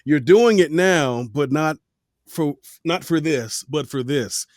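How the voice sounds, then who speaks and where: A man's voice: a little high, slow, no echo. Male podcast host, dry and close. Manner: hesitant questions full of fillers, friendly. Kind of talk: casual interview.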